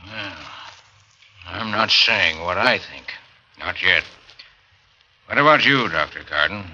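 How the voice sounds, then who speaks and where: A gruff police sergeant takes charge in a lowish, very forceful voice with lively swings. It comes from a muffled 1940s radio drama. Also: male voice, slow, full character voice. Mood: guarded, businesslike. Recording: muffled, faintly noisy vintage radio recording.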